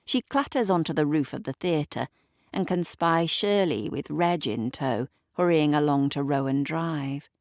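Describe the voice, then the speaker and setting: A woman's voice: low-pitched, expressive, unhurried, a little mellow, no room sound. A woman narrating an audio drama in a wry tone, with dry asides to the listener. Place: recording studio.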